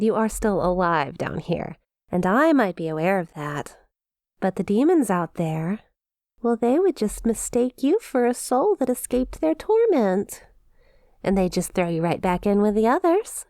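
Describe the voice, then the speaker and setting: A woman's voice: medium pitch, darkish tone, dry recording, studio quality. A woman playing a perky, smug demon imp in an ASMR roleplay, teasing with playful mock sympathy and a possessive streak. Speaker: audio roleplay performer.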